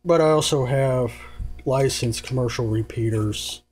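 A man's voice: mid-range voice, unhurried, darkish tone. A man giving a casual, unscripted hands-on explanation in a rambling, informal and matter-of-fact manner. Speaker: presenter giving radio gear advice. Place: indoors at a table. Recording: noisy home recording with hum. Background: clear noise and hum.

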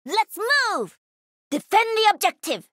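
A woman's voice: very high-pitched, big pitch swings, slow pace, a bit bright, slight background noise, heavily acted. A woman voicing a cocky, playful young gamer who pilots a mech in a video game, with punchy, teasing callouts. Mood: confident, upbeat. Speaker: voice actor.

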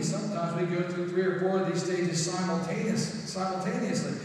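Middle-aged male pastor, conversational sermon, roomy distant sound with a faint background hiss. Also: high-pitched. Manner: conversational, repeats words when building a point. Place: large church sanctuary. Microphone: far camera, room pickup. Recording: echoey hall from far off.

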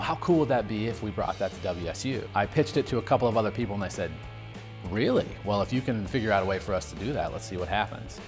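Low-voiced man in an interview. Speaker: music school faculty. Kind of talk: interview answers. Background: faint music.

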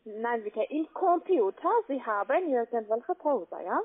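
A woman's voice: a little high, lively intonation, measured pace, narrow, tinny audio. A woman with a foreign accent in German, a call-center agent giving insistent step-by-step instructions over the phone. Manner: insistent, repeatedly checking with tag questions.

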